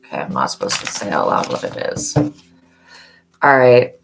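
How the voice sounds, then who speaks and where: A hoarse woman giving a live-stream tarot reading, with earnest, motherly reminders and a preachy tone about faith. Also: very deep voice, sing-song, moderate pace, slight background noise, poor audio. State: hoarse from yelling. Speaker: tarot reader.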